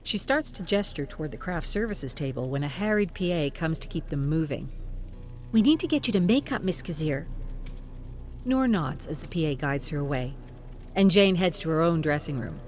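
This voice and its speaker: Expressive woman narrating a dramatic story. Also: sits low, brisk, faint music behind.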